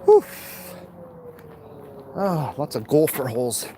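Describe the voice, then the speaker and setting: A man's voice: on the high side, huge pitch swings, takes it slowly, warm tone. An American man in casual, rambling livestream chat, talking off the cuff and answering comments as he walks. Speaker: livestream host. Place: outdoors, walking along neighborhood streets. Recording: outdoor street noise with passing traffic. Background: urban outdoor ambience, vehicles.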